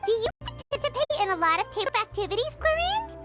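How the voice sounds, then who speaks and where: A woman voicing a tiny, excitable, naive childlike fairy companion in an anime game, squeaky in tone. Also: quick pace, over music, exaggerated voice. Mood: curious. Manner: refers to self in third person.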